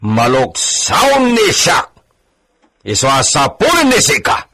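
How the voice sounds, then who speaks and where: An actor playing a domineering, suspicious landowner husband in a radio drama, imperious and quick to rage, peppering his talk with Spanish exclamations. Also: fairly high pitch, huge pitch swings, bright, forceful tone, heavily acted. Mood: furious.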